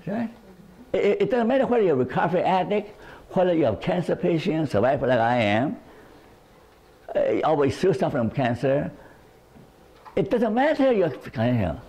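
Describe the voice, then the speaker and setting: An older man lecturing to a small group, his pitch swinging widely as he gestures. He talks with a gravelly, husky edge. He keeps repeating himself and asks "right?" along the way. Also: sing-song, moderate pace, pauses often, a little mellow. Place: living room at home. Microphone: lapel mic.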